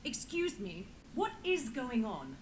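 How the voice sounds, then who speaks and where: A woman's voice: a little high, wildly swooping pitch, slow, slight background noise, full character voice, rough recording. A woman playing a stern, suspicious military marshal in a video game, curt and disapproving. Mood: indignant. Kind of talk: scripted video game acting.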